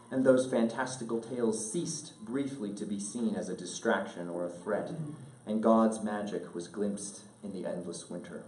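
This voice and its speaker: A man reads a literary letter aloud in a measured, earnest, oratorical way, pausing deliberately, recorded at a distance in an echoing room. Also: lowish voice, dark tone. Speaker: speaker reading a letter aloud at an event. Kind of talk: solemn literary letter read aloud to an audience. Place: indoor event room.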